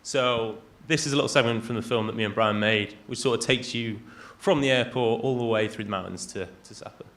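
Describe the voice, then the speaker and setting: A young Englishman talks to a live audience about his travel films. His voice sits at an ordinary middle pitch, and he speaks haltingly, filling the pauses with ums and uhs. He keeps slipping in wry, self-deprecating remarks. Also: a bit bright. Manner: hesitant, lots of ums and self-deprecating asides. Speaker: travel videographer giving a talk. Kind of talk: casual live presentation. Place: event venue in front of an audience.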